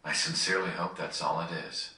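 An actor playing a serious, guarded young resistance leader in a video game, speaking in a grave, measured way. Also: male voice, low voice, steady tone, bright, forceful tone. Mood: grave, suspicious. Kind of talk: dramatic video game acting. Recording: roomy, distant capture off a screen.

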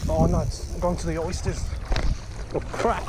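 A young man from Australia fishing from a rocky shoreline, giving excited, self-talking commentary into a head-mounted action camera. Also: fairly high pitch, a little slow. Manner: excited, exclaiming, talks to himself. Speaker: fishing vlogger. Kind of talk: casual running commentary while fishing. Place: outdoors on a rocky shoreline by a canal. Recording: loud wind and water noise.

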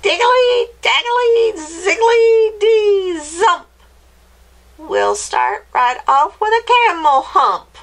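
A woman voicing a gleeful little old witch with a high, screechy voice, chanting spells theatrically in a children's storybook. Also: expressive, very slow, fairly bright tone, exaggerated voice. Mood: gleeful, excited. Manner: theatrical, exclamatory, chanting nonsense rhymes.

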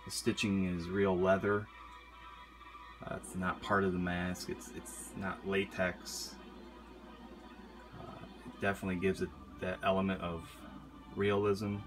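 Low-voiced man chatting over music. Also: unhurried, long pauses. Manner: relaxed, many filler ums and uhs. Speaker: horror mask collector vlogger. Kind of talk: casual show-and-tell vlog. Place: indoor room with horror posters. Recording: music playing clearly behind.

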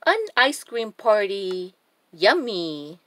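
A woman hosting a children's story time, reading a picture book aloud expressively with deliberate phrasing. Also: medium pitch, very animated, bright, forceful tone, no echo. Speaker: children's storybook reader and channel host.

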